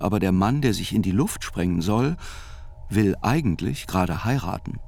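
A man reading a scripted literary chronicle as narrator, in a measured, deliberate and articulate way. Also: lowish voice, darkish tone.

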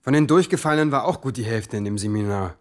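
A man's voice: medium pitch, expressive, quick pace, over loud music, natural voice. A man playing a cocky young hacker. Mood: brash. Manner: brash, impatient, cocky. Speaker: hacker helping a journalist. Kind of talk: scripted TV drama acting.

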